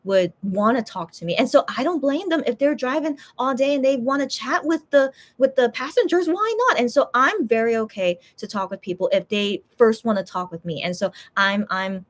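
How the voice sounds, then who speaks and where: A woman's voice: a little high, animated, moderate pace, hardly any pauses. A woman chatting casually with her viewers, rambling through her thoughts with many fillers and restarts. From USA. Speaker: YouTuber, channel host.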